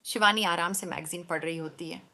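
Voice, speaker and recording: A woman's voice: on the low side, brisk, pushed and bright. A woman narrating a scripted story as the storyteller.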